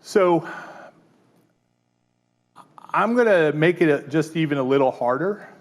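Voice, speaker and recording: A man's voice: fairly high pitch, lively intonation, a little slow. Man presenting a casual tech workshop. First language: English. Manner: conversational, frequent fillers and "right?" check-ins. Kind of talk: live tech workshop demo.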